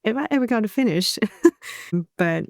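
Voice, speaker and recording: A woman in her thirties from Taiwan, an English speaker, talking in a soft-spoken, thoughtful way as an interview guest. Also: on the high side, lively intonation, measured pace, creaky, dry recording, studio quality. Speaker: singer-songwriter guest. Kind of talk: relaxed interview chat.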